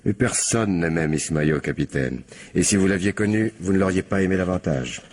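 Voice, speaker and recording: An actor playing an aloof, sardonic film assistant under questioning in a French radio crime drama, guarded and dryly disdainful. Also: male voice, low-pitched, lively intonation, fairly quick, darkish tone, husky. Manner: hesitant recall, dry disdain.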